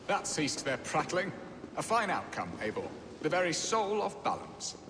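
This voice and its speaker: Wry, flowery lord in booming reverb. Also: male voice, very animated, moderate pace, noisy background. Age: middle-aged. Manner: flowery, theatrical phrasing. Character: wry Saxon lord, lovelorn, hunt-loving, weary of marriage. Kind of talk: period game drama acting. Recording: long reverb under loud music.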